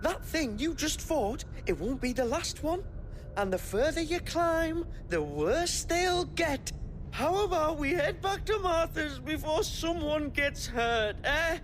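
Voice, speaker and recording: Chatty young fantasy local, swooping intonation, high voice, wary, colloquial, game cutscene. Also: female voice, slow, a little hiss or hum, heavily acted. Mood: nervous, pleading. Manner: colloquial, expressive, hesitant. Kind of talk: video game cutscene acting.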